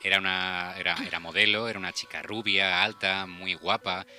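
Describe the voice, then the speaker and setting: A man's voice: low-pitched, fairly even intonation, normal speed, bright, forceful tone, soft background music. A man with an accent from Spain, hosting a casual radio chat show. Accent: Spanish (Spain).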